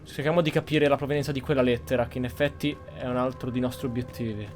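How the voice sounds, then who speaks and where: A man's voice: medium pitch, steady tone, racing, soft background music. An Italian man hosting a gameplay video, commenting casually and sometimes speaking in character. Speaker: gaming video host.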